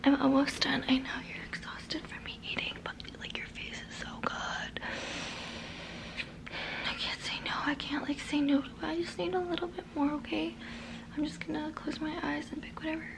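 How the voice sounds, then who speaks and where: A woman in her twenties doing a whispered ASMR roleplay, breathy and very close to the mic, softly repeating words. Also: high-pitched, fairly even intonation. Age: 20s. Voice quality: breathy, mostly unvoiced whisper. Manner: soft, halting, repeats words slowly. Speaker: ASMR creator. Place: small bedroom, indoors. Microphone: very close to the microphone.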